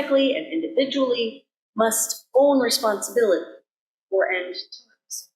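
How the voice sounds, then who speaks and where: The echo of a large indoor sanctuary surrounds a woman's mid-pitched voice. She reads a sermon as a minister would, earnestly and deliberately. Her phrases are measured, set apart by pauses. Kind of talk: scripted sermon reading.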